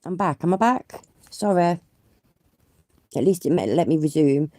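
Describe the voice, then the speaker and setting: A woman's voice: low-pitched, lively intonation, unhurried, warm tone, no echo, clean studio sound. A chatty woman with a stuffed-up cold, hosting a casual livestream on her phone and swearing freely. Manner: chatty, sweary, self-deprecating. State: congested with a cold. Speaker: livestream host. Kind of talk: casual live stream chat.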